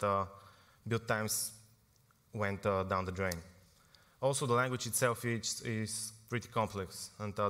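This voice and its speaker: A man's voice: on the low side, a bit bright. A young man from Bulgaria giving a technical conference talk, informal, with frequent fillers and self-corrections. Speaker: conference speaker. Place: large conference hall. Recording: long hall reverb.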